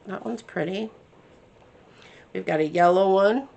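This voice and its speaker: A woman's voice: medium pitch, unhurried, gravelly, darkish tone, dull audio. A woman hosting a craft tutorial, chatting casually and thinking aloud as she works, a bit congested from her sinuses. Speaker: crafting channel host.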